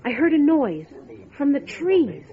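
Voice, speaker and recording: A wry, chatty diner waitress in an old-time radio horror drama. Her high voice swoops expressively as she muses and tells tales. The sound is slightly dull and lo-fi. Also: a little slow. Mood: uneasy. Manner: musing, expressive storytelling. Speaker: voice actor.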